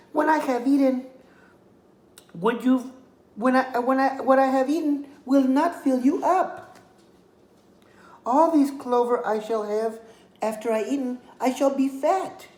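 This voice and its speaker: An older man voicing a skinny, clever little female lamb in a high, bleating sing-song. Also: a little mellow. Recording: roomy echoey room.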